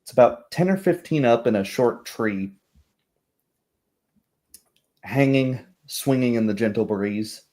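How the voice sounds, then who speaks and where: A man with a medium-pitched voice runs a tabletop roleplaying game. He narrates the scene as game master. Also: moderate pace, a little mellow.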